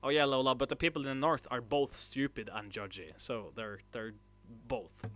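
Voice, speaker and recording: A man from northern Norway, hosting a casual livestream and chatting with viewers in a halting way, full of filler sounds and restarts. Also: mid-range voice, over loud music. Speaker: livestream host. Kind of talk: casual livestream chat, reading chat messages.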